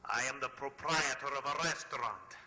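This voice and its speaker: An actor playing a polite, hesitant, secretive restaurant proprietor in an old-time radio drama. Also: male voice, medium pitch, moderate pace, pushed and bright. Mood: polite, guarded. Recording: noisy, clicks, long hall reverb.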